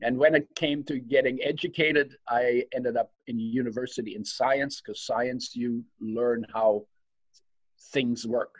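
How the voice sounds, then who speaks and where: A man's voice: medium pitch, steady tone, measured pace, no echo, low-quality recording. A man in his seventies, raised in Poland and German-speaking at home, telling his life story as a guest, reflective and laughing between sentences, on a video call from a study. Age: 70s. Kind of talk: personal storytelling. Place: home study lined with bookshelves.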